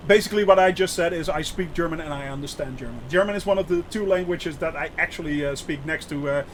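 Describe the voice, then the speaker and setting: A man's voice: on the high side, fast, a little hiss or hum. A man with a Dutch accent, chatting casually on a flight-sim live stream, full of filler sounds and answering chat.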